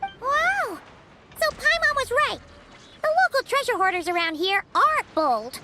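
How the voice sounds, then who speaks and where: Tiny squeaky fairy sidekick, game voice, super high n bright, chirpy, curious. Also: female voice, sing-song, unhurried, a little hiss or hum, heavily acted. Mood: amazed, concerned. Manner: wide-eyed, exclamatory, inquisitive. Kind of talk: video game voice acting.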